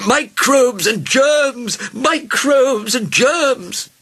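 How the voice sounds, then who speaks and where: Storybook royal doctor, acted by a male reader: high, fussy, panicky, forceful shouting. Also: animated, drawn-out words, husky, heavily acted. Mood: panicked shouting.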